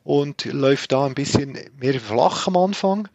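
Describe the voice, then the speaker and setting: A man's voice: mid-range voice, expressive, unhurried, gravelly, darkish tone, no echo, muffled recording. A man with a Swiss German accent, a podcast guest talking casually with many fillers and restarts. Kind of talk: casual conversation.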